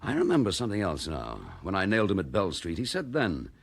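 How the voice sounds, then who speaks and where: A man's voice: sits low, very animated, fast talker. An actor playing a determined, earnest detective chief inspector who presses his case bluntly. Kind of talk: 1960s radio drama acting.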